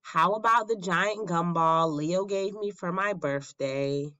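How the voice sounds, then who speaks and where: A woman voicing an eager, pleading little boy mouse in a squeaky, excitable way for a children's storybook. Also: takes it slowly, dry recording, highs cut off. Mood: hopeful, insistent.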